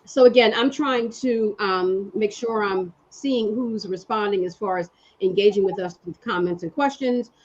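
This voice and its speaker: A woman hosts a live online discussion over a video call, chatty and loose, with frequent fillers. Her voice is husky and breathy. The call audio sounds dull and lo-fi. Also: medium pitch, normal speed. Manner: friendly, chatty with fillers, multitasking. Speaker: host and executive producer. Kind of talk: livestream panel hosting.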